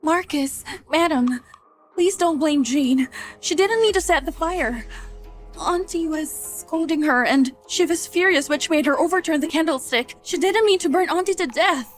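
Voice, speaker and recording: Very high-pitched, demure young noblewoman played by an actress. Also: moderate pace, faint music behind, close to an everyday voice. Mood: sorrowful. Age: young adult. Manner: pleading, demure. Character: meek, pleading young noblewoman who plays the innocent. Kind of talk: dubbed period drama acting.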